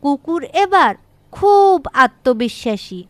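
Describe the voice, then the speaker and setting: A woman's voice: sits high, very animated, slow pace, no room sound. A woman narrating a scripted children's story as a storyteller. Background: background music.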